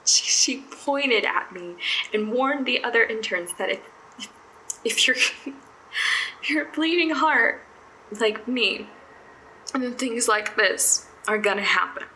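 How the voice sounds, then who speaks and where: An actress playing an earnest, anxious young female medical intern in an audio drama diary, rambling and self-conscious with halting phrases. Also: on the high side, lively intonation, bright, forceful tone, reverberant, a little hiss or hum, heavily acted, poor audio. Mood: shaken, upset. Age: young adult. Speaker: actor.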